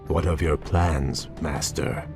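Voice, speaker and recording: A man's voice: a bass voice, fairly even intonation, takes it slowly, music in the background. A man voicing a noble young elf prince in a fantasy video game, earnest, formal and deferential. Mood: humble.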